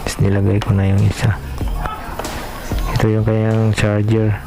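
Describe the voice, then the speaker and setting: A man from the Philippines hosts a casual unboxing vlog, pausing on fillers as he speaks directly to his viewers. His low voice stays almost completely flat in pitch. Music plays clearly underneath. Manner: hesitant, filler-heavy, talks directly to viewers. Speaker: vlog host.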